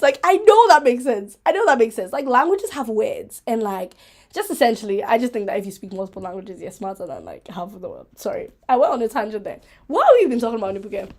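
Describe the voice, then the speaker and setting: A woman hosting a casual, rambling livestream chat, playful, giggly and self-mocking, with an animated, emphatic way of talking. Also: sits high. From Africa. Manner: animated, emphatic, repeating herself for effect. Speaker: host of a chat vlog.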